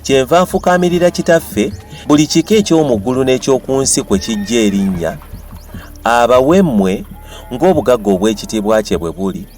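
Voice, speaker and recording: A man's voice: mid-range voice, animated, a little fast, a little mellow. A man with a Ugandan accent giving a dramatized reading of scripture as a Bible reader. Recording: music behind the voice. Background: music.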